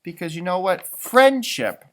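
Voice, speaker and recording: A male storybook reader addresses young children in simple, musing asides. His voice is very lively in pitch, and he takes his time, leaving room between phrases. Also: measured pace. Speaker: storybook reader, elementary school teacher. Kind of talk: children's read-aloud with asides.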